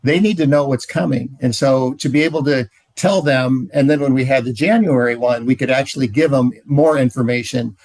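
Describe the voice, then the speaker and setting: Relaxed man telling stories, dry sound. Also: mid-range voice, normal speed, few pauses, dry recording, rough recording. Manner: relaxed storytelling, many "uh"s. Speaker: ministry trainer. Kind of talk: casual training talk.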